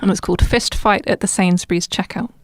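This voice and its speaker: A woman, an articulate, measured guest poet speaking on a poetry podcast. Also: medium pitch, fairly even intonation, no echo. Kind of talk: podcast discussion and spoken-word poetry reading.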